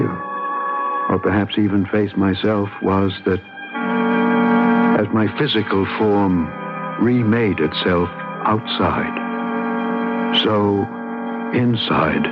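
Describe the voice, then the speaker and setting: A haunted man with a low-set voice, confessing in a very slow, intense radio drama style. He is brooding and tormented, over loud music on a muffled recording. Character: possessed, tormented man recovering from illness, secretly seething.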